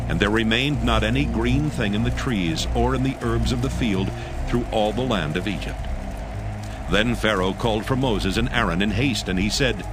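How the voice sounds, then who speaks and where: A man narrating dramatized scripture in a solemn, measured, scripted manner. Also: on the low side. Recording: music under the narration.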